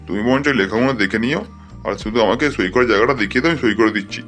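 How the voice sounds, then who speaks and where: A man acts out a stingy old village grandfather for a cartoon. He speaks colloquially at a quick clip, in a middling pitch. Music plays underneath. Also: fairly bright tone, close to an everyday voice. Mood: mild, trusting. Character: stingy, unlettered old grandfather, trusting until angered. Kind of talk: amateur cartoon voice acting.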